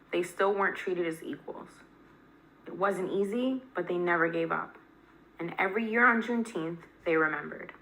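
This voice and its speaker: Bright, slow-reading woman storyteller. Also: fairly low pitch, rough recording. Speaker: reader of a children's picture book. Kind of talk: read-aloud storytelling.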